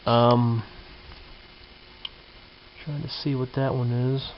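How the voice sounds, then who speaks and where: A man with an average-pitched voice talks casually, like a hobbyist showing his project. His manner is folksy, thinking out loud as he goes. The sound is dull and lo-fi, with a light hiss behind him, as from a handheld camera in a workshop. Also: level tone, slow. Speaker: hobbyist presenter. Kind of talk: casual hands-on show-and-tell commentary. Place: indoor home workshop or garage. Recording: dull lo-fi camcorder audio with hiss.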